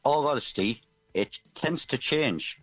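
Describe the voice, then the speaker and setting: A man's voice: medium pitch, lively intonation, slow, fairly bright tone, slightly rough, muffled recording. A middle-aged man chatting casually and reflectively as a musician guest in a radio interview. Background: music playing underneath.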